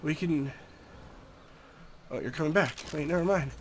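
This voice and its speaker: A man's voice: a little high, moderate pace, a little hiss or hum. A young man with a stuffy, nasal voice from a cold, giving easygoing, chatty commentary over a game and talking to the creatures on screen. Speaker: gameplay commentator. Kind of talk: casual gameplay commentary.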